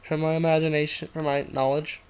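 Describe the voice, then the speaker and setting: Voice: medium pitch, little pitch movement, brisk, clear, resonant tone. A host casually reading fan fiction aloud and rambling off into irreverent, giggly side comments. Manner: giggly, irreverent, easily sidetracked.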